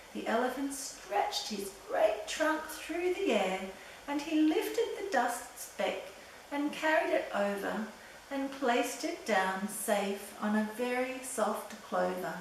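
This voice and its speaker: A woman storyteller reads children's verse expressively and very slowly. Her voice is fairly high, heard a bit away from the mic in a very echoey room. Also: expressive. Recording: very echoey room, distant, faint noise.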